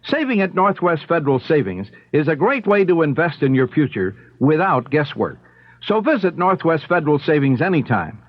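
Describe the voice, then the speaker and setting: A man's voice: a little high, sing-song, normal speed, dry recording. A man working as a radio announcer, reading a scripted advertisement. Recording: dry, slightly dull radio broadcast.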